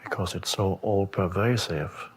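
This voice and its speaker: Husky, low-voiced contemplative man. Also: steady tone. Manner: contemplative, halting with long pauses, gently questioning. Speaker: spiritual teacher. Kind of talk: guided meditation talk.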